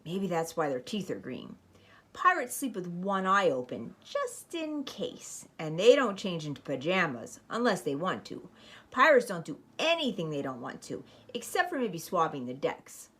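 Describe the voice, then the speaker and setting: A woman storyteller reading a children's picture book aloud with expressive, emphatic phrasing. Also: fairly low pitch, normal speed, husky.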